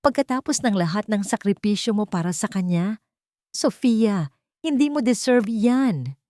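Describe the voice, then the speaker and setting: A woman's voice: medium pitch, animated, moderate pace, mellow, dry recording, pitched above the performer's own voice, close to an everyday voice. Loyal, indignant Filipina best friend, emphatic and urging, storybook drama, dry sound, female narrator acting.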